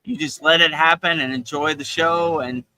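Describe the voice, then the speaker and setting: A man's voice: on the high side, steady tone, moderate pace, a crowd in the background, poor audio. A man from Miami, Florida, hosting a live spiritual talk and telling stories in a chatty way, dropping in "you know" often and laughing between points. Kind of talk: casual spiritual storytelling. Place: outdoors in Thailand.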